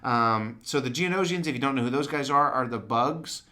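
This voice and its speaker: A man co-hosting a podcast in casual, joking chat, his voice a bit raspy from yelling. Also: mid-range voice, moderate pace. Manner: enthusiastic, joking. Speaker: podcast co-host.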